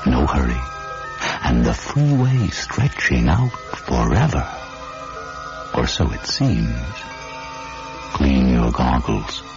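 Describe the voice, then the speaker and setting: An actor playing a brooding narrator of the open road in a radio drama, speaking in terse, deliberate, poetic phrases. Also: male voice, extremely low pitch, sing-song, exaggerated voice. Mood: brooding, unhurried. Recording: muffled old radio broadcast. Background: prominent music.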